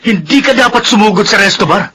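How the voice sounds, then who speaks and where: An actor playing a curt, self-justifying cheating husband in a radio drama. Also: male voice, sits high, lively intonation, fast talker, bright, forceful tone, a little hiss or hum. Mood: defensive.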